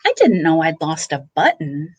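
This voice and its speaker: A woman voicing a small wistful storybook teddy bear, earnest and full of gentle wonder. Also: low-pitched, big pitch swings, normal speed, husky, no echo, voice pushed down, full character voice. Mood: wistful resolve.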